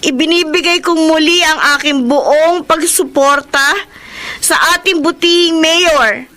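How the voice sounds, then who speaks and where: Slow, high-voiced remorseful young Filipina. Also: female voice, sharp tone. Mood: resolute, rousing. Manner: slow, emotional, formal public delivery. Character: remorseful young niece, a former mayoral candidate confessing publicly. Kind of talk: Filipino radio drama acting.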